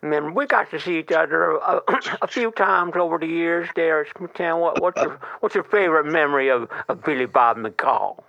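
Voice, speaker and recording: A man voicing a goofy, excitable man with a quirky accent who gushes, stammers and jumps into squealing high notes. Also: moderate pace, creaky, hardly any pauses, no echo, lo-fi sound. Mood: giddy. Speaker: show's honorary member.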